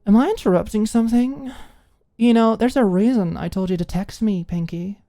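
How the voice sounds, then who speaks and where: A woman's voice: mid-range voice, normal speed, dark tone, no echo, clean studio sound, pitched above the performer's own voice, natural voice. Woman acting a tipsy, cocky, drawling young woman. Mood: sarcastic, taunting. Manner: drawling, sarcastic, teasing with pet names.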